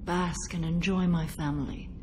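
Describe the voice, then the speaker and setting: A woman playing an ancient alien warrior mother in a video game, stern and grieving, with formal, measured speech. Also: on the low side, fairly even intonation, lightly acted. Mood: somber, regretful. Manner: formal, measured, grave delivery. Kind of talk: dramatic video game acting. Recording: clearly noisy game audio, ambience.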